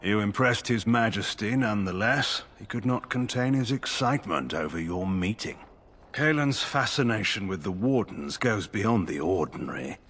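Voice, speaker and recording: An actor playing a stern, proud, middle-aged general in a video game, formal and condescending. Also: male voice, on the low side, expressive, moderate pace, fairly bright tone, breathy edge. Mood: stern, dry. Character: stern, proud, skeptical male general and nobleman. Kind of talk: video game character dialogue.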